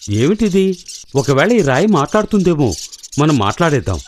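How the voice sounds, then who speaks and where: A woman voicing a gullible, gruff crocodile in a children's cartoon fable. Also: deep voice, sing-song, moderate pace, darkish tone, a little hiss or hum. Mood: gullible, blunt. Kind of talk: children's cartoon story acting.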